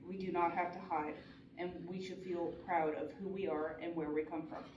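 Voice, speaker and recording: A woman's voice: lowish voice, fairly even intonation, moderate pace. A woman giving a prepared, earnest talk at a conference, with small restarts. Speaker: conference speaker. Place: conference hall. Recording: echoey hall from far off, noisy.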